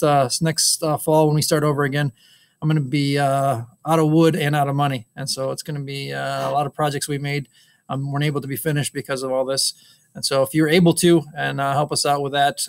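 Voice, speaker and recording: A man's voice: medium pitch, vocal fry. A middle-aged man, a woodshop teacher, giving a casual recorded update to his class over a webcam, with many ums and uhs. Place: school wood shop. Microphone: webcam on a screen recording.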